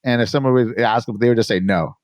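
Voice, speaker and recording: A man hosts a podcast in a relaxed, agreeable manner, often chiming in with short replies. His voice sits at a middle pitch for a man. The recording is dry and close. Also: fast, dry recording. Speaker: podcast host. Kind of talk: casual podcast conversation.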